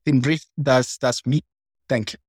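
Middle-aged man, Zimbabwean accent, mid-pitched voice, interview guest, measured answers, dry recording. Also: steady tone, no echo. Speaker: interview guest, executive director and pharmacist.

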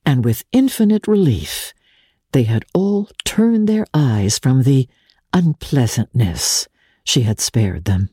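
A woman with a husky voice giving a measured, dramatic reading of literary fiction in deliberate, expressive phrases. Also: rumbling low, no echo, studio quality. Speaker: reader of classic fiction. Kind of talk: dramatic literary audiobook narration.

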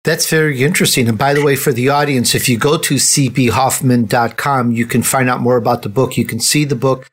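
A man's voice: mid-range voice, fairly even intonation, a little fast, few pauses, breathy edge. A man hosting a podcast in a chatty salesman's delivery, throwing in rhetorical questions. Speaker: podcast host. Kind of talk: interview hosting and scripted ad reading.

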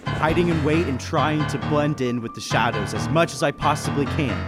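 A man acts out an anxious businessman caught in a murder mystery, his voice a little high. He tells the story deliberately and dramatically, as in a 1930s radio thriller. Music plays clearly behind him. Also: natural voice. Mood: tense narration. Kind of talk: 1930s radio suspense drama acting and first-person narration.

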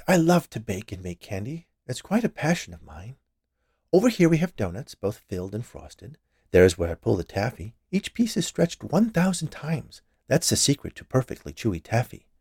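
A man's voice: medium pitch, huge pitch swings, normal speed, gravelly, a little mellow, slightly rough, dry recording, clean studio sound, pitched above the performer's own voice, exaggerated voice. A man voicing a plump, cheerful woman confectioner in an audiobook, chatty, gushing and nosy. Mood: chirpy, curious. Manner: chirpy, gushing, inquisitive. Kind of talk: audiobook character voice.

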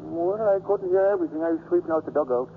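A high-pitched, humble male groundskeeper, acted by a man, halting and deferential as a witness. The old radio recording is thin, with faint noise. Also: phone-quality sound, close to an everyday voice. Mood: hesitant, earnest. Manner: hesitant, deferential. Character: plain, hesitant male ballpark groundskeeper giving testimony. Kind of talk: 1950s radio drama acting.

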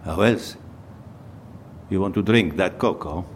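An actor playing a mysterious masked Venetian mask-maker in an audio drama, hushed, terse and cryptic. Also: male voice, low voice, a little slow, a little hiss or hum. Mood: grave, secretive.

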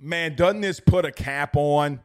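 A young man hosting a fan livestream after a big game, his voice hoarse and strained from yelling. He talks in an excitable, rambling way, repeating lines for emphasis. His voice sits a bit high for a man. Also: measured pace. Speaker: sports talk show host. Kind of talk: excited post-game livestream chat reading super chats.

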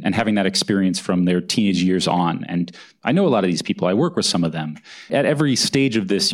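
A man, an author and journalist, answering questions thoughtfully at a live on-stage interview. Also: low voice. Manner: thoughtful, measured, hedging with "kind of". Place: event hall with live audience.

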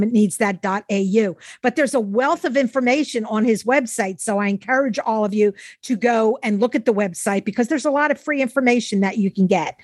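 A woman's voice: on the high side, no echo, studio quality. A middle-aged or older woman hosting a conversational interview in an encouraging, affirming way. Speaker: podcast host.

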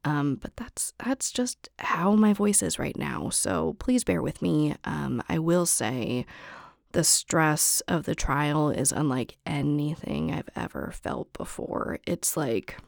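Hoarse, raspy young woman podcaster. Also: low-pitched, animated, slow pace, no echo, clean studio sound. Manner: chatty, frequent ums and likes, laughs softly. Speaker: podcast host. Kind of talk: after-show update to listeners.

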